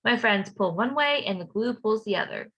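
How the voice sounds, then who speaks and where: A woman reading a children's storybook aloud as a playful young schoolboy narrator, expressive and rhythmic. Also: on the high side, normal speed, husky. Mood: excited.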